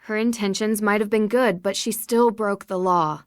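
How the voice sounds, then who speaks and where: A woman playing a friendly, direct young amateur sleuth in a video game, polite, even and inquisitive. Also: medium pitch, moderate pace, clean tone, no echo, studio quality, close to an everyday voice. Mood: earnest.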